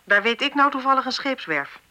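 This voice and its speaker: An actress playing a brisk, sardonic, no-nonsense police inspector in a radio drama, with a dry, sarcastic manner. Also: female voice, sits high, lively intonation, bright, forceful tone, full character voice. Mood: dry, focused. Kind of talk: Dutch radio drama acting. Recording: radio play with faint background noise.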